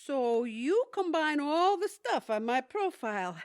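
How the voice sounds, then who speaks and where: A woman acts out a wry older New Yorker in a radio-play comedy. Her voice is on the high side and swings up and down as she grumbles with sardonic bite. It is recorded dry. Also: a little slow, dry recording. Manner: sardonic, deadpan complaining. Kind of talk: audio theatre comedy acting.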